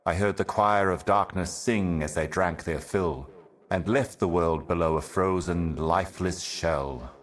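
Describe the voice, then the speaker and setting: Game role: ancient eternal envoy of darkness, bottomless low voice, slow, solemn, portentous delivery. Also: male voice. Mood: dark, ominous. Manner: grave, brooding, measured, portentous. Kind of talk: scripted game monologue, cryptic poetic narration.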